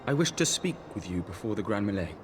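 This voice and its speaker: A man with a British accent playing a troubled, earnest nobleman in a fantasy game, speaking formally and with halting passion. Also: lowish voice, sing-song, over music. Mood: troubled questioning. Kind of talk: dramatic fantasy game dialogue.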